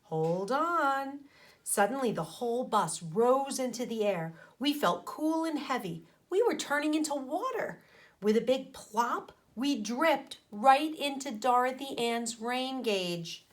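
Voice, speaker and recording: Expressive woman reading a storybook, high and animated. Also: a little slow. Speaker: teacher reading aloud to a class. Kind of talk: animated storybook read-aloud with asides to children.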